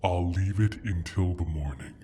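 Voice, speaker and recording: A man voicing a proud, sly male dragon in an ASMR fantasy roleplay, speaking in a deliberate, formal, teasing way with a husky, breathy rumble. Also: warm tone, lightly acted. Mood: drowsy, sly. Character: injured male dragon, proud, sly, possessive of his hoard.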